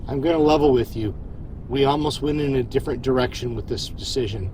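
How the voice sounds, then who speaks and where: Male actor as genial starship captain, wry, commanding, mid-pitched, sci-fi audio drama. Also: a little fast, mellow, a little hiss or hum, natural voice, rough recording. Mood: friendly, wry. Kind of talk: science fiction audio drama acting.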